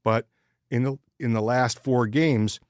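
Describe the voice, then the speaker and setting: A man's voice: medium pitch, fairly even intonation, measured pace, no room sound, clean studio sound. A man co-hosting a sports podcast, chatting casually in a blunt, crude-humored way. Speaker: podcast co-host.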